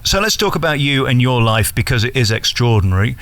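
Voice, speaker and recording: Fast-talking male interviewer. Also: medium pitch, very animated, fairly bright tone. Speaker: interviewer, radio host. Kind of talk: conversational celebrity interview.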